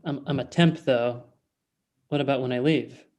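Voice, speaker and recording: A man's voice: mid-range voice, steady tone, moderate pace, darkish tone, lightly acted. A man in his thirties playing a nervous, lovelorn office temp in a comedy table read, anxious and hesitant. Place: indoor room at home, video call. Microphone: video call, earbuds.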